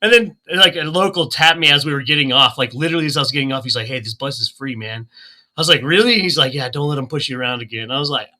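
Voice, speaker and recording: A man from Oklahoma chatting casually on a group call, telling animated stories and laughing often. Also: mid-range voice, fast. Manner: animated storyteller, laughs often, acts out quotes. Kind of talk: casual chat.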